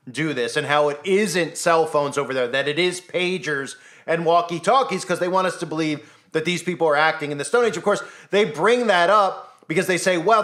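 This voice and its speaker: A man giving a solo commentary monologue, animated and skeptical, piling up rhetorical questions. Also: fairly high pitch. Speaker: commentary channel host.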